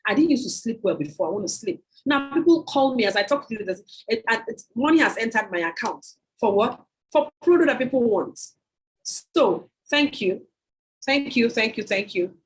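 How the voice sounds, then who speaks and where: Emphatic woman pitching on a video call. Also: expressive, moderate pace, rough recording. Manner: emphatic, repetitive, persuasive. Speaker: host of a product sales meeting. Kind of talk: sales pitch with audience questions. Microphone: computer mic on a video call.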